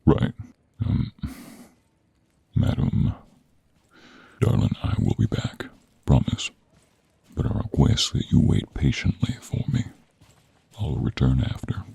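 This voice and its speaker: A man playing a gruff, wry, honourable monster hunter in an audio roleplay, with a gravelly, husky voice and informal manner. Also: a bass voice, lively intonation, takes it slowly, pauses often, mellow, dry recording, full character voice. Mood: reassuring. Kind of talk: audio roleplay acting, speaking to the listener.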